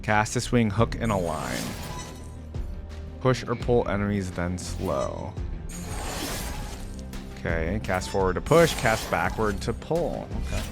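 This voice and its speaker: A man's voice: low-pitched, very animated, slow, vocal fry, long pauses, music in the background. A man giving casual reaction commentary, thinking aloud in a tentative way and sometimes reading text out. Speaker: reaction video host.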